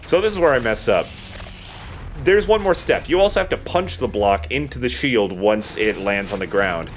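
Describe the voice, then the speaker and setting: A man's voice: medium pitch, animated, moderate pace, soft background music. A man giving casual, explanatory commentary on a video game in a conversational way. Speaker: let's play gamer and commentator.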